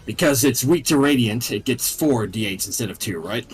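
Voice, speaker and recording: A man's voice: mid-range voice, lively intonation, normal speed, breathy edge, faint music behind. A man chatting casually and bluntly as a player in a tabletop role-playing game.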